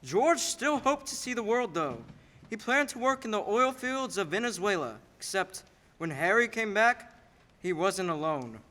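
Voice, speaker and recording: A man's voice: high-pitched, animated, moderate pace, sharp tone. A man playing the storytelling narrator of a radio play, recorded in an echoey hall. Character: narrator recounting George's life story.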